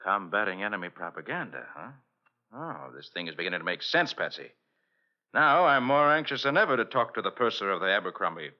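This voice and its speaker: Commanding radio detective: low voice, very animated delivery, dull vintage recording. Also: male voice. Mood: inquisitive. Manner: brisk, inquisitive, authoritative. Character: brisk, commanding male private detective. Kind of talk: 1940s radio drama acting.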